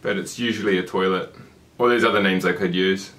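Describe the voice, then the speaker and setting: A man's voice: low voice, steady tone, brisk, a bit bright, far from the mic. A man from New Zealand with a New Zealand accent, giving short, dry, joking answers in a casual chat. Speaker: guest.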